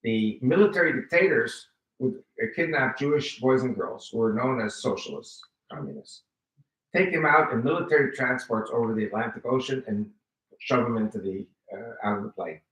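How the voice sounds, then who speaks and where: A man in his seventies, American-born with Spanish as his first language, giving an animated personal testimony to a live audience and acting out quoted dialogue. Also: medium pitch, moderate pace, far from the mic. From United States. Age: 70s. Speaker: guest speaker giving testimony. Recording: roomy hall, voice picked up from afar.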